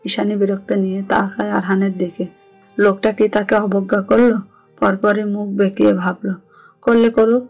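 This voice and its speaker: A woman's voice: medium pitch, darkish tone, husky. A woman with a Bangladeshi accent reading scripted narration of a romantic story. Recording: music under the voice.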